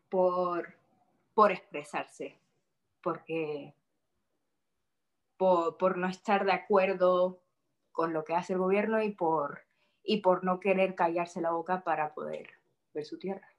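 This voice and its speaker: A woman's voice: lowish voice, fairly even intonation, slow, long pauses, highs cut off. Young woman, from Spain, interview guest, hesitant, repeats words, casual talk.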